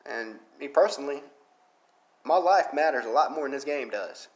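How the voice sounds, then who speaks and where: A man's voice: medium pitch, lively intonation, normal speed, echoey room. A man with a strong Southern Alabama accent, talking in a casual rambling monologue, full of "you know" fillers and getting fired up. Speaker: mobile game player.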